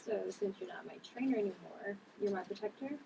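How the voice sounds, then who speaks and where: A woman's voice: medium pitch, brisk, creaky, mellow, lightly acted. Young superheroine female actor, table read: playful, everyday delivery, distant noisy recording. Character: playful, newly super-strong young heroine.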